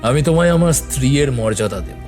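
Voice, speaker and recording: An actor playing a proud, besotted young landlord's heir in an audio drama, earnest and a little drunk. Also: male voice, medium pitch, expressive, a little fast, darkish tone, soft background music, natural voice. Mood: ardent, tipsy. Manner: slurred, earnest, grandly declaring. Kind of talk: dramatised horror audio story.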